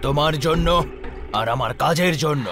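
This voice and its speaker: Menacing crime boss played by a man, highish voice. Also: moderate pace, close to an everyday voice. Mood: stern, impatient. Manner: threatening, commanding. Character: menacing male crime boss, cold and impatient. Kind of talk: dramatised animated horror story.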